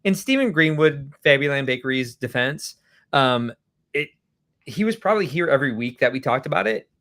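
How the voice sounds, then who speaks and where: A man's voice: mid-range voice, lively intonation, moderate pace, fairly bright tone. A man co-hosting a casual live stream, teasing his partner and playing up mock outrage. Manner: teasing, playfully dramatic. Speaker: co-host.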